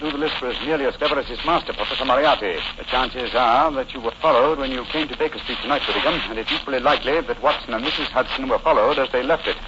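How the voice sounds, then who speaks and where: Brisk British gentleman detective, male actor, rapid clipped authority, vintage radio drama with heavy noise. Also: mid-range voice, natural voice. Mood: brisk, commanding. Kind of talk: 1940s radio drama acting. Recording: noisy, muffled old radio broadcast.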